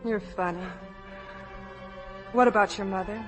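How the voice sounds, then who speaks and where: A woman acting a worried young sweetheart in an old radio play. She is gentle and hesitant, at an average female pitch, with faint music on the vintage broadcast. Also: steady tone, unhurried, close to an everyday voice. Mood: tender, doubtful. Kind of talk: 1950s radio drama acting.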